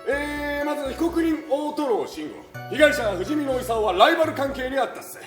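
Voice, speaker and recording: An actress playing an eager, deferential, blunt male police detective giving testimony in a brisk, slangy stage manner. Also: high-pitched, very animated. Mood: dutiful. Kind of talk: theatrical stage acting. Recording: echoey stage with clear music behind.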